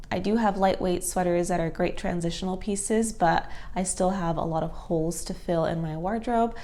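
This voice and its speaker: A woman's voice: lowish voice, a little mellow. A woman in her twenties with a nasal, stuffed-up voice from allergies, chatting casually to camera and giving tips. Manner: chatty, friendly, many fillers like "like" and "so". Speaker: thrifting vlogger. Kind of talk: casual vlog talk to camera.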